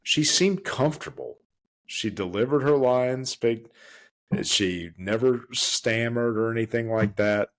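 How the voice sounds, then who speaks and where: A man co-hosting a podcast in casual chat, emphatic and stumbling over restarts as he rants. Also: unhurried. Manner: emphatic, full of restarts and stammers; swings up high when worked up. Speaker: podcast co-host.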